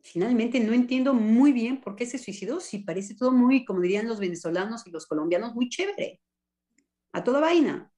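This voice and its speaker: A Mexican woman talking in a casual discussion among colleagues, animated and ironic, with many hesitations. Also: a little high, brisk. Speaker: discussion participant.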